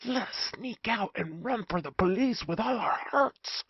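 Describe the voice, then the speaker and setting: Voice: medium pitch, animated, unhurried, slightly rough, no echo, dull audio, voice pushed up. A narrator voicing a grave, stoic older uncle in a comic audiobook, solemn and declaiming. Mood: hushed resolve. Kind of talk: comic audiobook character acting.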